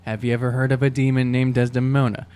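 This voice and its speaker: A man's voice: medium pitch, flat delivery, fast talker, darkish tone, slight background noise. A man in casual improvised roleplay as a player on a tabletop game podcast, hesitant and dry.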